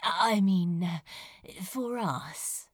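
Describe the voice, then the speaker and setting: A woman's voice: medium pitch, very slow, no echo. A woman voicing a flustered, casual man with dry, hesitant interjections in a storybook reading.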